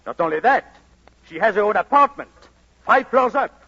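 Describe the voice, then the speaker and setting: An actor playing an exasperated, lovesick newlywed playwright husband, excitable and pleading, in 1940s radio comedy style. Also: male voice, sits high, moderate pace, slightly rough, exaggerated voice. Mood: agitated. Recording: muffled old radio broadcast, music behind.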